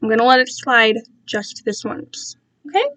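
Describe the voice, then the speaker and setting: A woman's voice: fairly high pitch, lively intonation, moderate pace, fairly bright tone. A woman voicing a condescending male captor in a story, cooing and patronizing as he keeps control. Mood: smug, patronizing.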